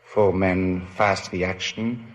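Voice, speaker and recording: A man from Belgium with a Belgian accent, a fencing instructor earnestly and haltingly answering questions as a contestant. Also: deep voice, steady tone. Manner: earnest, halting, non-native phrasing.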